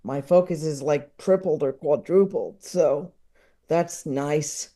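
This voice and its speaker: Slow, mellow, self-deprecating male guest. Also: on the high side. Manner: self-deprecating, halting, chuckles while talking. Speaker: podcast guest. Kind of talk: casual, enthusiastic chat.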